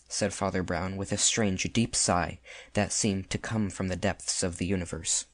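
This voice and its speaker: A man's voice: sits low, fairly even intonation, normal speed, no room sound. A man narrating classic fiction from a script as an audiobook narrator.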